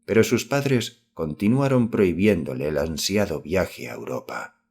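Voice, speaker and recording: A man reading a literary horror story as an audiobook narrator, in measured, formal scripted narration. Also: deep voice, animated, vocal fry, darkish tone, slightly rough.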